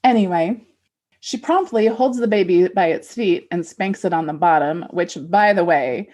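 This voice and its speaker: A woman's voice: on the low side, moderate pace, a little mellow. A woman co-hosting a podcast in casual comedic chat, narrating with playful mock-seriousness and dropping in wry asides. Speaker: podcast co-host; midwife.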